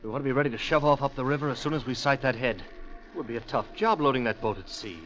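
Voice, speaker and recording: An actor playing an eager, determined young explorer in a vintage radio drama. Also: male voice, medium pitch, fast talker, fairly bright tone, soft background music, lightly acted. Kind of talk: 1950s radio drama acting. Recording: muffled old radio broadcast.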